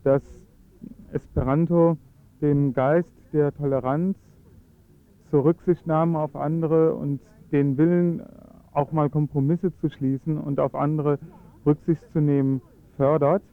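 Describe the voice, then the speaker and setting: A man's voice: on the high side, fairly even intonation, measured pace, phone-quality sound. A man speaking German as his first language, earnestly explaining his views in a radio interview with frequent hesitations. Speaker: Esperanto advocate.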